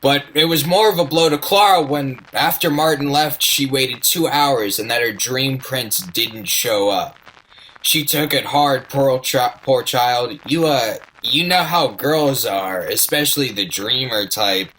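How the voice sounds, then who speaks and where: A man plays a folksy radio play narrator with a bright, forward voice. He rambles and halts, chatting to listeners as if thinking aloud, with faint noise behind him. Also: mid-range voice, lightly acted, low-quality recording. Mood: sympathetic. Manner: halting, digressive, chatty. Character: folksy, rambling male storyteller, wistful and chatty.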